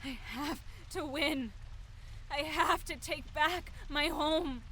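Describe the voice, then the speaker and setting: Casting: actress as angry young superpowered woman in audio drama, very high bright voice, slow and forceful, defiant and desperate. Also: a little hiss or hum, exaggerated voice. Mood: desperate, pained.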